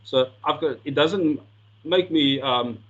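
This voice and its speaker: A man's voice: fairly high pitch, slow, muffled recording. A South African man whose first language is Afrikaans, giving an earnest coaching talk with slides and often repeating words as he restarts sentences. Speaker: sport coaching lecturer.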